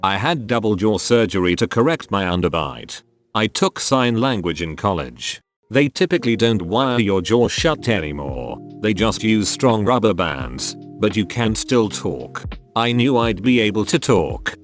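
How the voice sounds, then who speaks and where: A man's voice: lowish voice, animated, a little slow. A man reading out forum stories as narration over background music. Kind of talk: read-aloud narration.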